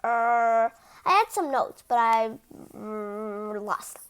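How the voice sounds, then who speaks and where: A woman voicing a nervous, deferential royal story writer in a children's picture book, halting and apologetic. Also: fairly high pitch, clean tone, voice pushed down. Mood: flustered, apologetic.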